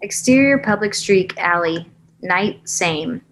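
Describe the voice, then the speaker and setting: A woman's voice: on the low side, lively intonation, slow. A woman narrator reading screenplay stage directions at a table read.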